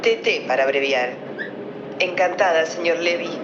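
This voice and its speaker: A woman's voice: lowish voice, moderate pace, natural voice. An actress playing a genteel, self-regarding older society lady in a radio play, with an affected, grand manner. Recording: roomy, muffled, noisy recording.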